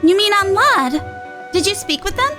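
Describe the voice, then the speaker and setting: A woman's voice: very high voice, animated, a little slow, voice pushed up. A woman voicing a whimsical, sentimental little pixie from a video game, chatty and given to musing aloud. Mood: curious. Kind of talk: acted reading of video game dialogue. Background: game music.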